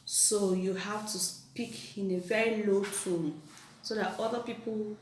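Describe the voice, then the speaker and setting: Chatty woman with a loud voice held back. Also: mid-range voice, fairly even intonation, measured pace, far from the mic, slight background noise. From Nigeria. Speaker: vlogger co-host. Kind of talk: casual couple chat. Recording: roomy echo, off-mic.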